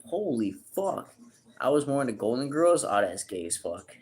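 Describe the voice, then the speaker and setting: A man in his early thirties livestreaming, reading chat aloud and joking crudely, with sudden loud outbursts. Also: medium pitch, sing-song, moderate pace, breathy edge. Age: 30s.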